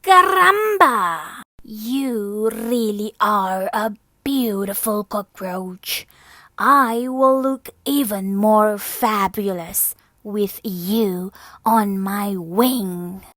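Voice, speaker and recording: A woman's voice: medium pitch, very slow, pushed and bright, no echo, studio quality. A woman voicing a vain, pompous male rooster suitor in a storybook, boastful and given to exclaiming. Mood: smug, crooning.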